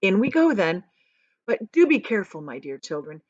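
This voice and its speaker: An eccentric, excitable male chocolate maker from a storybook, played by a woman reader. He boasts and exclaims with emphasis, his pitch leaping about freely. The sound is dry and a little muffled. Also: moderate pace, no room sound. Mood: proud, cautioning. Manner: emphatic, exclamatory, repeats phrases for effect.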